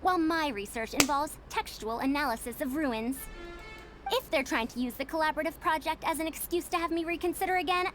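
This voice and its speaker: A woman's voice: high-pitched, fairly quick, over music, lightly acted. A woman voicing a haughty senior female scholar in a video game, prim and self-important, with lofty phrasing.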